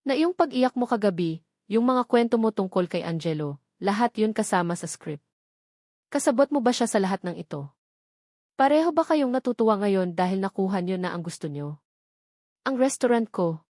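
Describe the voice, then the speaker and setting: A woman's voice: mid-range voice, lively intonation, moderate pace, long pauses, a little mellow, dry recording, clean studio sound, close to an everyday voice. A woman playing a betrayed, furious wife in an audio drama, accusing and anguished in an emphatic way. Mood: bitter accusing. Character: betrayed, furious wife lashing out at her ex-husband. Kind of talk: Tagalog audio drama acting.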